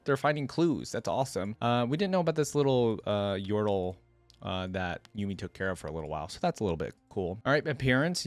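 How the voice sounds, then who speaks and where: Animated young man, chatting casually. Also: medium pitch, no echo. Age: young adult. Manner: chatty, opinionated, thinks aloud. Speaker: reaction video host. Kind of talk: casual reaction commentary. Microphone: close desktop microphone, headset on.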